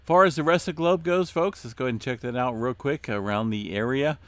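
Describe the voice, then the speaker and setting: A man hosting an earthquake update, talking casually through his screen with many fillers and a voice going hoarse. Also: mid-range voice, brisk, dry recording. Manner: relaxed, many "uh" fillers, addresses viewers as folks. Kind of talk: casual screen-share commentary.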